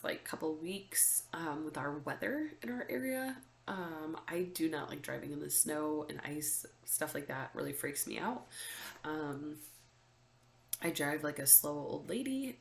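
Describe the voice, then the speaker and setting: Congested low-voiced woman vlogging. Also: vocal fry, echoey room, a little hiss or hum. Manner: chatty, many ums and likes, laughs often. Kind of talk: casual chat to camera. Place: room with window open, indoors.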